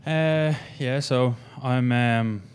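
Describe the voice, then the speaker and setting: A young man with an Irish accent, a gym coach, telling his story in a halting, rambling way with many fillers in a casual interview. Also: mid-range voice, smooth. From Ireland.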